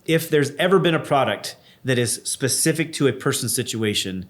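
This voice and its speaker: A man's voice: mid-range voice, animated, a bit bright. A man co-hosting an insurance chat, eager and upbeat, steering the conversation from topic to topic. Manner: quick, enthusiastic, steers the topics. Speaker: insurance broker co-host.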